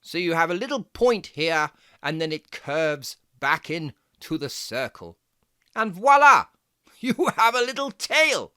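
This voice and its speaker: A performer voicing a jaunty, fussy fox who gives playful, step-by-step drawing lessons for children in a theatrical, deliberate, encouraging way. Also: mid-range voice, very animated, pushed and bright, no room sound, studio quality. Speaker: presenter of children's drawing tutorial.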